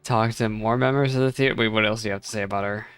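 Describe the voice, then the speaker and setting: A man's voice: fairly low pitch, steady tone, rapid-fire, fairly bright tone, clean tone, no echo. A man giving casual, playfully bemused live commentary as a streamer reacting to a game, in colloquial speech.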